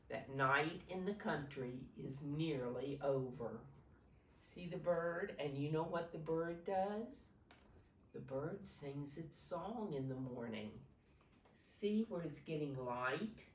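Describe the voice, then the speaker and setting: A woman reading a picture book aloud to young children as a teacher, pausing to explain simply and ask them questions. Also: deep voice, slight background noise. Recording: roomy echo, distant from microphone.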